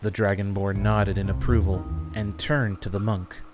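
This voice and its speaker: A man's voice: lowish voice, level tone, moderate pace, music in the background. A man reading scripted story narration as the narrator of a fantasy audio drama.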